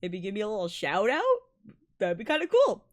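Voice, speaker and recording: A young adult woman whose first languages are Malayalam and Tamil, a violinist giving a bubbly, excitable casual breakdown of music and laughing often. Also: mid-range voice, brisk. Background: faint music playing.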